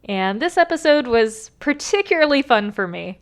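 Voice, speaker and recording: A woman's voice: a little high, very animated, moderate pace. A woman introducing herself as a cast member in a cheerful, chatty way.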